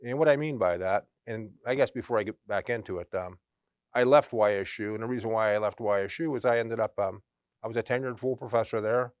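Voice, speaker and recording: A man, speaking in a dry studio. He answers earnestly, often pausing and restarting his sentences. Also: fairly low pitch, no room sound. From Western Pennsylvania. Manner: earnest, with frequent ums and restarts. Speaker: MBA program director, guest. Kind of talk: conversational interview answers. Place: college TV studio.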